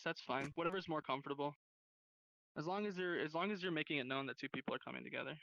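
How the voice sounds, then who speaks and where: Bright-voiced casual young-sounding male teacher. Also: medium pitch, no echo. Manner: casual, rambling, slangy. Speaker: sign language class teacher. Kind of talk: informal lesson. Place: online virtual class hub. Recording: dull lo-fi online voice chat.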